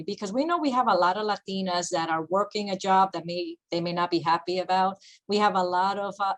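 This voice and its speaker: A woman's voice: medium pitch, poor audio. A woman of Dominican heritage hosting a panel informally, chatty and dropping in bits of Spanish. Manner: chatty, bilingual interjections. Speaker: moderator, host.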